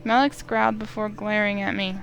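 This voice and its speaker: A woman reading a visual novel aloud in first person, steady and expressive, with small slips. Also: medium pitch, fairly quick, vocal fry, lo-fi sound. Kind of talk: first-person story narration.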